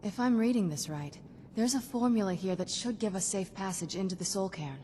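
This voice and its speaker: A woman voicing a shrewd, composed young female vampire necromancer in a video game, speaking in a measured, dry, musing way. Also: medium pitch, mellow, slight background noise, lightly acted. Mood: intent, explanatory. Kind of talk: video game character dialogue.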